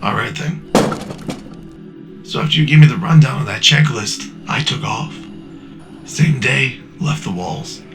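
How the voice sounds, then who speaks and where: A man playing a weathered older scavenger in a sci-fi audio drama, wry, profane and gravelly. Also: mid-range voice, slow pace, long pauses, over music. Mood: matter-of-fact. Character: weathered older male wasteland scavenger on a respirator.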